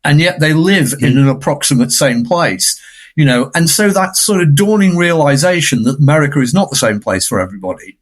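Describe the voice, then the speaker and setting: A man's voice: on the high side, lively intonation, brisk. A man giving conversational film analysis in a discursive, emphatic way, often checking for agreement.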